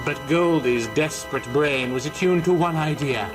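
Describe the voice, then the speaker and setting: A man's voice: on the high side, normal speed, over music. A man narrating a scripted horror story in a theatrical, ominous manner.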